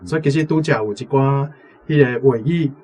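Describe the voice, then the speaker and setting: A man's voice: medium pitch, fairly quick, darkish tone, slight background noise. A man with a Taiwanese accent, a language teacher giving explanatory commentary off the cuff. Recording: music playing behind the voice. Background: instrumental music.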